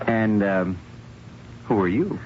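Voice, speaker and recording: An actor playing a cocky, breezy young graduate in a radio drama, casual and smug. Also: male voice, lowish voice, lively intonation, slow pace. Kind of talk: 1970s radio drama acting. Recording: muffled, noisy 1970s radio broadcast.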